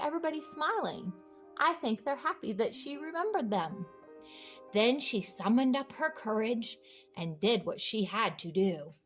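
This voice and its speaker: Lady reading a picture book to little kids, slow n high, encouraging, soft music under it. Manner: encouraging, explains simply to children. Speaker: children's book read-aloud host. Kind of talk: storybook narration with questions to young listeners.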